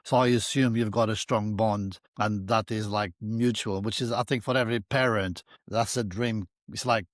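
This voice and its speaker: A male podcast host asks easy questions and backs his guest with short affirmations. His voice is on the low side. It is recorded close and dry. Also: steady tone, moderate pace, no echo. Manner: supportive listener, brief affirmations. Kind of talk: casual interview.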